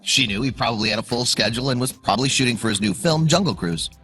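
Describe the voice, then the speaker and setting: Animated male storyteller over background music. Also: mid-range voice, fast. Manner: suspenseful, emphatic storytelling. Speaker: narrator. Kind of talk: scripted dramatic storytelling narration for online video. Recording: music under the voice.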